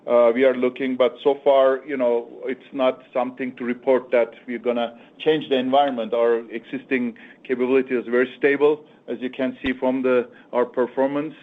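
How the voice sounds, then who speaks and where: A man speaking as a chief executive on an earnings call, answering in halting, repetitive phrases. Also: medium pitch, level tone. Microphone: phone conference call. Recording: thin, narrowband conference phone line.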